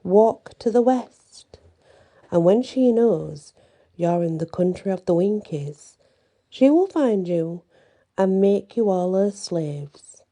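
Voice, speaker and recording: A foreboding guardian of a fairytale city gate, a man as a woman reads him. The voice is soft, breathy and very mellow. He warns travellers in a measured, unhurried way. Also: mid-range voice, expressive.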